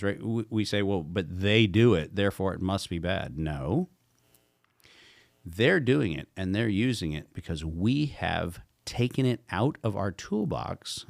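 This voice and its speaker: A man's voice: fairly low pitch, vocal fry, no room sound, clean studio sound. A man speaking as a guest expert in a podcast conversation, talking emphatically and posing rhetorical questions.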